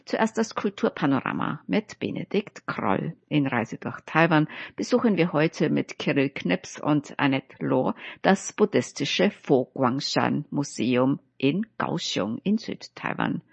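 A female radio host reads out a scripted programme rundown. Her voice has a gravelly creak and some huskiness, recorded close and dry. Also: sits low, lively intonation, measured pace, few pauses, no echo, lo-fi sound.

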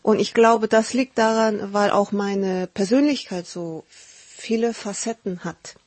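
Soft-spoken woman, slightly husky, dry sound. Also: medium pitch, fairly even intonation, no echo. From South Korea. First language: Japanese. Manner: thoughtful, halting, with frequent fillers and self-corrections. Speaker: singer. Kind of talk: interview answers.

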